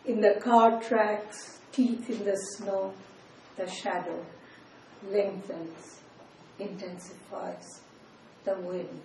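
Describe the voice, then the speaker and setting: Older woman reading poetry slowly in an echoey room. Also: medium pitch, level tone, creaky, slight background noise. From India. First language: Bengali. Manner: slow, measured reading with soft, trailing line endings. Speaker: poet. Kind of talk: poetry reading with spoken asides. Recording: distant, echoey room with faint ambience.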